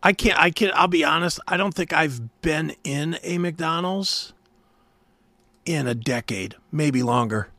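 A man's voice: fairly high pitch, unhurried, sharp tone, no echo. An American man talking casually as a talk show co-host, blunt, profane and cantankerous. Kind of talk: casual chat, ranting.

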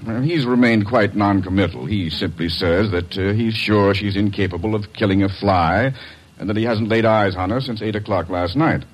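An actor playing a formal, hesitant doctor, in 1940s radio acting style. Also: male voice, on the low side, normal speed, natural voice, poor audio. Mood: worried. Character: anxious male doctor, would-be victim.

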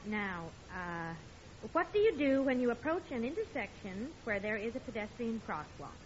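Woman as high-voiced exasperated radio sitcom wife. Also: expressive, moderate pace, lightly acted. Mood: testing, impatient. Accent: American. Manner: dry, reproving. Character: exasperated, sharp-witted middle-aged sitcom wife and mother. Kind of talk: 1950s radio sitcom acting. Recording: dull old radio recording, faint noise.

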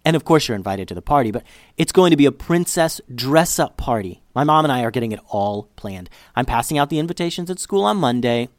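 A man voicing an excitable, chatty young girl in a children's storybook, gushing breathlessly. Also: mid-range voice, moderate pace, no echo, studio quality, lightly acted. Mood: excited, gushing.

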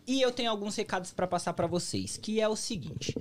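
A man co-hosting a live podcast, with a voice that rises and falls a great deal. He is jokey and animated as he gives notices and welcomes a guest. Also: a little fast, slight background noise. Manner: animated, jokey, swings pitch a lot. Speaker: podcast co-host. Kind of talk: casual live podcast chat.

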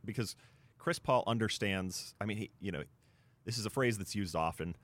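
A man with a fairly low voice co-hosts a casual sports discussion. He explains things conversationally and a bit haltingly, working through each point as he goes. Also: a bit bright. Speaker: sports talk show co-host.

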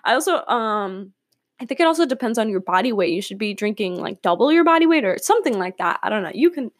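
A young woman from California talks through her podcast in a loose, rambling way, full of fillers and quick laughs. Her voice sits at an ordinary middle pitch. The recording is dry and close, with no room echo. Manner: chatty, rambling, laughs often, many fillers. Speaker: podcast host. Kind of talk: casual solo podcast chat. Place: room at home with windows open.

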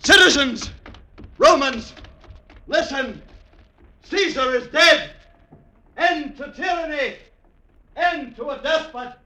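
A man playing a Roman conspirator proclaiming to the citizens against tyranny in a loud, declamatory 1950s radio drama style. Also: extremely high pitch, slow pace, long pauses, full character voice. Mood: fervent, proclaiming. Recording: noisy old radio recording.

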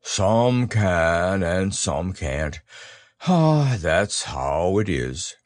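A man voicing a simple, good-natured, wistful bear in a storybook, ponderous and halting. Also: sits low, big pitch swings, no echo, clean studio sound, pitched below the performer's own voice, full character voice. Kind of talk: storybook character reading.

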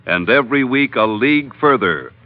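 A man's voice: mid-range voice, expressive, moderate pace, no echo. A man announcing a 1940s radio show in a grand, dramatic scripted style. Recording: muffled old radio recording with music.

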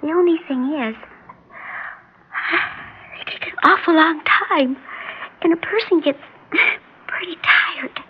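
A woman's voice: sits high, slow, pauses often, in a whisper. A woman playing a sweet, earnest little girl who is a hospital patient in a 1960s radio drama. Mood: sleepy. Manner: earnest, childlike. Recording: dull, noisy old radio recording.

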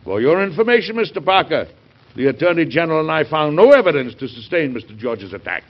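A man playing an indignant, burdened middle-aged American president in an old radio drama, speaking formally and emphatically with a gravelly, husky voice. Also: fairly high pitch, sing-song, quick pace. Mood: indignant, stern. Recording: muffled vintage radio broadcast.

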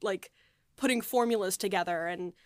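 A woman's voice: medium pitch, normal speed. A woman writer and producer in a casual behind-the-scenes discussion, talking in a reflective, rambling way.

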